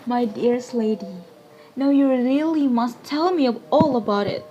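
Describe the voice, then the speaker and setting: A woman acting a concerned, sensible gentleman friend in a stage play, formal and courteous. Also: on the high side, moderate pace, muffled recording, slight background noise, close to an everyday voice. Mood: urging.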